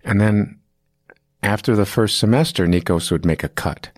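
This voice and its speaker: A man's voice: fairly low pitch, normal speed, darkish tone, dry recording, studio quality. A man in his fifties, an acting teacher, telling long stories in an interview, rambling with restarts and fillers. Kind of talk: casual interview storytelling.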